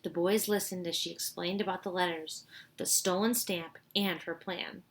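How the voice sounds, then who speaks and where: Woman, slightly low voice, expressive storybook read-aloud, informal asides. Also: moderate pace. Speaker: teacher reading aloud.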